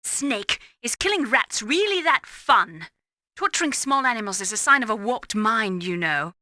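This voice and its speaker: A woman's voice: on the high side, animated, moderate pace, pushed and bright, dry recording. A woman voicing a principled, compassionate adviser in a video game, with an earnest way of talking. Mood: reproachful. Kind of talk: dramatic video game radio dialogue.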